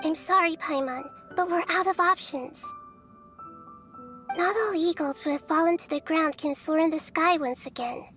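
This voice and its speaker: A woman voicing a small, gentle and wise young nature deity in an anime video game, composed, thoughtful and selfless. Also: very high-pitched, moderate pace. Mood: sorrowful, firm. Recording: music behind the voice.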